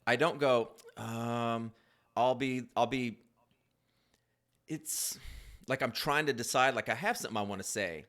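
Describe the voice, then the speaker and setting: A man's voice: mid-range voice, unhurried, long pauses, fairly bright tone. A man hosting a podcast, rambling easily with many restarts. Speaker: podcast host. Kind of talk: casual chat.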